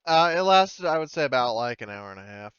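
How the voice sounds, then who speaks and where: A man's voice: medium pitch, very animated, a little fast, a bit bright, clean tone, no echo, muffled recording. A man running a tabletop roleplaying game, thinking aloud with some hesitation. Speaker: game master.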